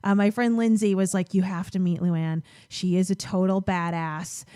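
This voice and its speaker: A woman's voice: on the low side, steady tone, normal speed, mellow, smooth, dry recording, studio quality. A woman hosting a podcast, chatting informally and enthusiastically with her guest. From Illinois, United States. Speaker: podcast host. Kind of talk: casual chatty interview.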